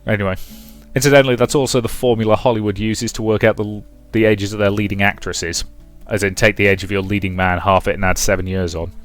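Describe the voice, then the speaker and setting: A man's voice: fairly low pitch, level tone, fast talker, few pauses, soft background music. A British man in his twenties, a let's play host reading a game aloud and commenting in a wry, rambling way. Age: 20s. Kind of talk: casual commentary while reading a visual novel aloud.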